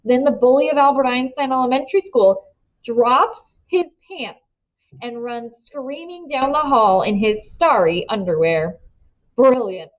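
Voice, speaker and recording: A woman narrating a children's novel aloud in an expressive storytelling style. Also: a little high, muffled recording.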